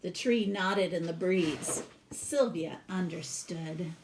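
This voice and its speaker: A woman's voice: on the low side, noisy background. A woman who works as a teacher reads a children's story aloud expressively, with casual asides to explain the pictures. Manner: pauses to explain the pictures to listeners.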